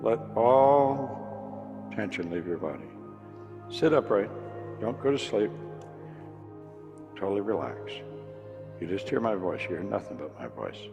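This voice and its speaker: A man leading a guided hypnosis session, giving slow, repetitive, soothing commands. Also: fairly low pitch, very animated, lo-fi sound. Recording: long reverb with music behind.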